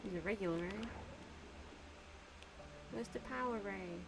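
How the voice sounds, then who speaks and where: Slow-paced playful woman streaming a game. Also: medium pitch, creaky, noisy background. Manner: playful, giggly, mutters to herself. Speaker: streamer playing a video game. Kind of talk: casual live gameplay commentary.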